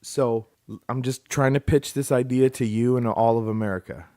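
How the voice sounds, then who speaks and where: A man hosting a comic podcast, delivering crude jokes in a mock-formal, deadpan way. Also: medium pitch, normal speed, no room sound, clean studio sound. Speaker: podcast host. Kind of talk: scripted comic podcast intro and banter.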